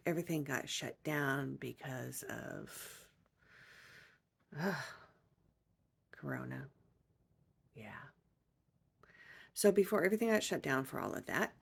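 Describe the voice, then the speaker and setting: A woman chatting casually to viewers as a vlogger, halting and informal as she introduces a clip. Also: low-pitched, gravelly, slightly rough.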